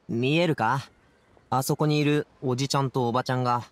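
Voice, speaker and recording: A man voicing an energetic, good-natured young man in an anime game, talking in a casual, friendly, chatty way. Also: medium pitch, close to an everyday voice. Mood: uneasy, reluctant. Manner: casual, brisk, friendly boyish speech.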